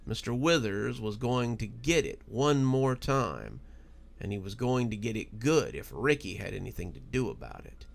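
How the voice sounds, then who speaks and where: A man narrating a horror story in a dramatic, expressive and deliberate storytelling style. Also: mid-range voice, gravelly.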